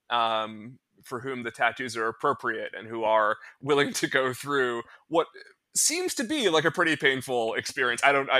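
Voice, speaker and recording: Brief: male host with a bright, forward voice, asks searching questions in casual chat. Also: medium pitch, no room sound. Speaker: podcast host.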